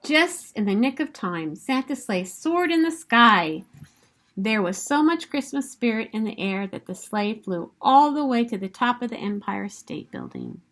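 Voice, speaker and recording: A woman reading a children's picture book aloud in an expressive, emphatic storytelling manner. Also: on the high side, a little slow.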